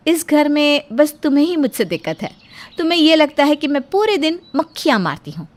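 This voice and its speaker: Woman acting a high-voiced, sharp-tongued young daughter-in-law. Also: big pitch swings, fairly quick. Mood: sarcastic, scolding. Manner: sarcastic, scolding, aggrieved. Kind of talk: Hindi cartoon moral-story acting.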